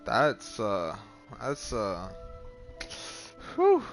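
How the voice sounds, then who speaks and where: A man giving casual, halting commentary as he reacts to a video game story. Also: medium pitch, huge pitch swings, soft background music. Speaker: gamer reacting to a story quest.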